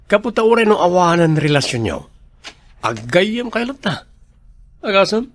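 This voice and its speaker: Nosy confidant, male actor, Ilocano accent, radio drama; lively pitch movement, doubting and questioning. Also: normal speed. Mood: teasing, curious.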